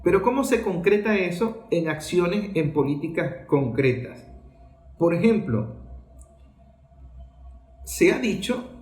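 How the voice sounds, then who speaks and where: A man's voice: on the high side, a little mellow. Venezuelan man speaking in an echoey room. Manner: rhetorical, poses questions and answers them. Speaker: journalist giving a political talk. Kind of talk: political commentary speech.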